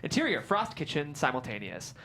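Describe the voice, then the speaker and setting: Low-voiced, expressive woman narrator. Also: a little fast, slight background noise. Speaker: stage direction narrator. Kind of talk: scripted narration at a table read.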